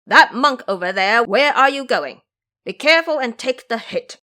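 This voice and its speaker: Woman voicing a boastful, menacing male river monster, animated. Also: a little high, moderate pace. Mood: challenging. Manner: grandiose, declamatory, threatening. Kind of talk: audio drama acting.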